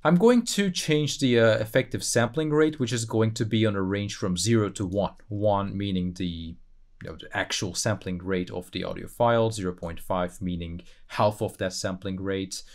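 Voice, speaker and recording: A man hosting a casual software tutorial, thinking aloud in a conversational way with playful asides. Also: fairly low pitch, normal speed. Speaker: tutorial host.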